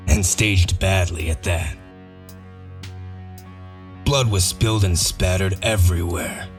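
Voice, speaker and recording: A man playing a gruff, shrewd wolf detective in a comic dub, explaining things in a methodical, sardonic way. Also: deep voice. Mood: dry recounting.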